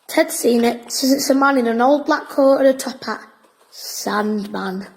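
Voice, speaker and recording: A woman's voice: fairly high pitch, unhurried, a little mellow, rough recording. A woman playing a bossy, boastful wartime boy who tells spooky tales, in an audio drama. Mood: teasing. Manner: officious, storytelling.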